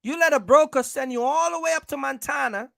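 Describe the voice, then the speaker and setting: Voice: sits high, a little fast, creaky, no echo, clean studio sound. An American livestream host giving informal business advice, speaking emphatically, repeating points and checking in with listeners.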